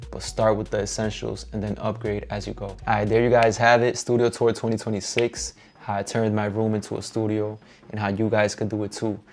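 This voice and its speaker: A young man with a Dominican accent giving a relaxed, upbeat tour of his home music studio, encouraging and slangy. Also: lowish voice. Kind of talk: casual vlog walkthrough.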